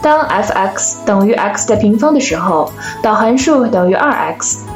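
A woman's voice: mid-range voice, animated, moderate pace, faint music behind, lightly acted. Woman as schoolteacher in an echoey room. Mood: instructive. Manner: didactic. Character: instructive schoolteacher giving a maths lesson. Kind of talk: Chinese audio drama acting. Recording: roomy, dull classroom sound.

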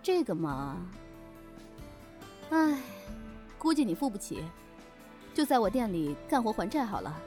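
A woman's voice: mid-range voice, very animated, unhurried, long pauses, a little mellow, soft background music. A woman playing a shrewd, breezy keeper of a demon inn, teasing and bossy in an offhand way, in an audio drama.

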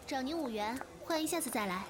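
A woman playing a chatty young shop worker in a radio drama, lively and inclined to grumble. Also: high-pitched, quick pace, a little hiss or hum. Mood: busy, weary.

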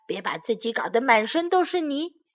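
A woman's voice: a little high, big pitch swings, normal speed. A woman voicing a stern, protective father bear in a storybook, giving firm warnings. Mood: stern, cautioning.

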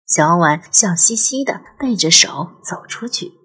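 A woman narrates a dramatic ghost story at an unhurried pace. Her voice is breathy and expressive, slightly low, with music playing beneath it.

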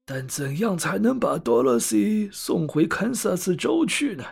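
Guy doing old wizard for a kids tale, gravelly, really animated, slow hesitant self-important talk. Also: high-pitched, pitched above the performer's own voice, heavily acted. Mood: worried, musing.

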